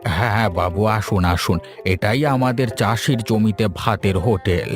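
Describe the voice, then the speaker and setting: Low-voiced old village innkeeper played by a man. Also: moderate pace, over music, natural voice. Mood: welcoming. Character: cautious elderly village innkeeper, family father. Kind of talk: animated folk tale voice acting.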